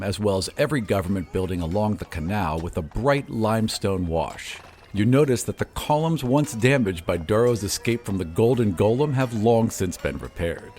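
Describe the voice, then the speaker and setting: A man's voice: on the low side, a little mellow. A man reads scripted, dramatic fantasy narration as the storyteller of a game. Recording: music behind the voice. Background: music.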